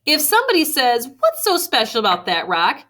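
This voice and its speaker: A woman reading a picture book aloud to children expressively, with deliberate emphasis on key phrases. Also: high voice, huge pitch swings, bright, forceful tone. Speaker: read-aloud storyteller for children. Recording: faint background noise.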